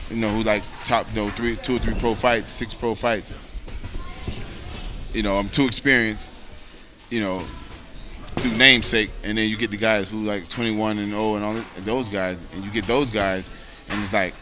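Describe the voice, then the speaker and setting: An American man in his late thirties with a fairly low voice. He talks like a boxer giving a casual interview, earnest and rambling, padding his thoughts with "you know.". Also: steady tone, normal speed, music in the background. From United States. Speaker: professional boxer, interview guest.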